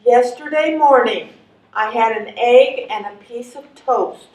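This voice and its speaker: A woman's voice: on the high side, a little slow, darkish tone, close to an everyday voice. A woman playing a wry, stubborn, hungry caller of seventy-three in a live readers theatre reading. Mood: deadpan. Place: live stage reading in a room. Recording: echoey room, distant microphone.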